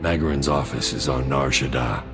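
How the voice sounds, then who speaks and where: Very deep, gravelly, wry mercenary soldier. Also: male voice, normal speed, over music. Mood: dry, businesslike. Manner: wry, sardonic, laid-back. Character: cynical, greedy mercenary soldier, alien arms dealer with dry humour. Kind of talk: video game cutscene dialogue.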